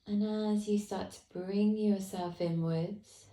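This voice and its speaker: A woman teacher leading a guided meditation, speaking softly and calmly with long pauses. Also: mid-range voice, echoey room. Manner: slow, soft, calm guidance with long pauses.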